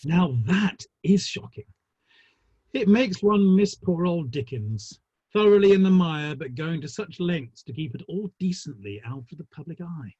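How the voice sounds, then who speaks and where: A dry, arch gentleman of the Victorian theatre world played by a man, husky and animated in delivery. He gossips with urbane relish in a dramatic play reading, heard through dull, roomy online audio. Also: a little high, a little slow. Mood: wry gossip.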